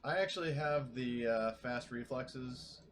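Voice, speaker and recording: A man with a mid-range voice plays a tabletop game. He talks casually and haltingly, stammering as he works things out, with an apologetic manner. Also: mid-range voice, slight background noise.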